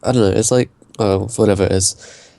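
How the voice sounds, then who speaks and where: A young man in relaxed podcast banter, with a mellow, low voice. He talks haltingly, filling gaps with "like" and "I don't know," recorded close and dry. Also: vocal fry, no echo. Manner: hesitant, many "like" and "I don't know" fillers. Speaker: podcast co-host. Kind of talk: casual chat.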